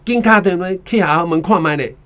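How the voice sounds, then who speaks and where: A man's voice: high-pitched, brisk, fairly bright tone. A man with a Taiwanese accent playing a startled, anxious doctor and father in a radio drama. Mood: anxious, wondering. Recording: reverberant echoey hall sound.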